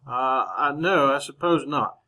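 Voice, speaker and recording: An actor playing a polite, reserved gentleman reporter in an old-time radio play. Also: on the high side, normal speed. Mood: awkward courtesy.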